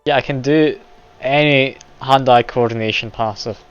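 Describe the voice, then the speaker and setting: A man thinking out loud while playing a game on a live stream, chatty and self-deprecating with frequent laughs. The audio is slightly dull, and faint game music sits behind him. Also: mid-range voice, fairly even intonation, moderate pace, clear, resonant tone. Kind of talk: casual live game commentary.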